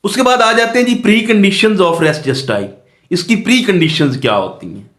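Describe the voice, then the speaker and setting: A man's voice: high voice, expressive, measured pace, a bit bright. A man giving an explanatory law lecture, mixing in English terms, repeating phrases and checking along with rhetorical questions. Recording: echoey, roomy sound.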